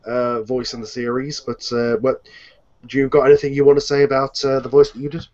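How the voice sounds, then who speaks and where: A man hosting an online group chat with a hesitant, halting delivery. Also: medium pitch, flat delivery, darkish tone. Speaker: host and series producer. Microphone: computer, later phone, on online call. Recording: dull lo-fi internet call.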